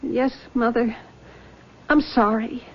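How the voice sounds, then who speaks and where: A high-pitched distraught young woman in a 1970s radio play. She speaks intensely, as if haunted and pushed to the edge. The recording is dull and lo-fi. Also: fairly even intonation, slow. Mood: strained, apologetic. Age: young adult. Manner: intense, overwrought delivery. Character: distraught, accusing young daughter haunted by dead sweetheart. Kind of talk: 1970s radio drama acting.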